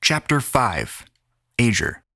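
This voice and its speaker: A man's voice: medium pitch, sing-song, slow pace, creaky, sharp tone, husky, heavily acted. A man playing a cocky, jaded young racing pilot who narrates a scripted science fiction audio drama. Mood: wry, reflective. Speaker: narrator and lead actor. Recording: voice over prominent background music.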